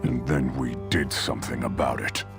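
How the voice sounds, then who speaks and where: Game cutscene role: grim Viking raider, gravelly low voice, slow grave storytelling, loud score underneath. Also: male voice, fairly even intonation. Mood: grim, brooding. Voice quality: deep, gravelly. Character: grim battle-hardened Viking raider recounting his vengeance. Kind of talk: dramatic scripted game narration. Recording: dramatic music underneath.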